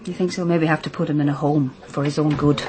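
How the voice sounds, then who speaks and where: An actress playing a sympathetic, gently teasing female police detective in a radio crime drama. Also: deep voice, steady tone, fast talker, lightly acted. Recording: busy chatter behind the voice.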